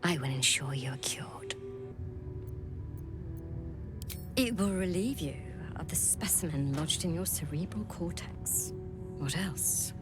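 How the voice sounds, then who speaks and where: A cold alien woman physician from a fantasy game. Her voice is low and unhurried, each word dry and precise with haughty curiosity, set over clear music. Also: animated. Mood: coolly fascinated.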